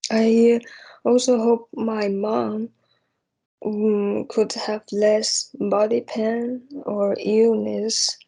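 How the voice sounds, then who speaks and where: Young woman, slow halting delivery, husky, even-toned, reflective unscripted talk. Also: medium pitch, rough recording. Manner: hesitant, halting, searching for words. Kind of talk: unscripted spoken reflection on personal hopes.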